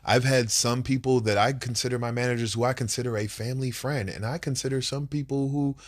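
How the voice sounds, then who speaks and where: A man's voice: medium pitch, steady tone, a little fast. A man co-hosting a couples podcast, congested and nasal from a cold, talking in a blunt, emphatic, rambling way. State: congested, under the weather. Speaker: podcast co-host. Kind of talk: casual couples podcast chat.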